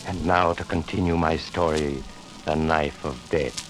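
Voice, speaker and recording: An actor playing an eerie doctor who narrates grim tales, measured and ominous, in 1940s radio narration style. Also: male voice, extremely low pitch, steady tone, poor audio. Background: music.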